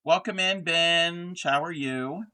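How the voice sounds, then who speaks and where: A man with a Southern American accent hosting a relaxed crochet livestream chat, his words often trailing off into murmurs while he works. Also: a little high, slow, clean tone. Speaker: host.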